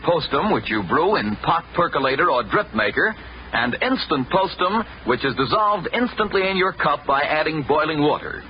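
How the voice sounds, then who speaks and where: Lively male old-time radio announcer. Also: a little high, normal speed, slight background noise. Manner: polished, friendly sales delivery. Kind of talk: 1940s radio advertising announcer. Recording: muffled old radio recording.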